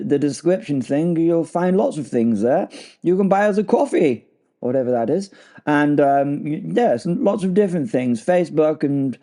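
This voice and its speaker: A man's voice: a little high, dark tone. A man playing a flustered, bumbling, polite duke, stammering and apologetic as he introduces a podcast drama. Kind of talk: rambling in-character podcast introduction.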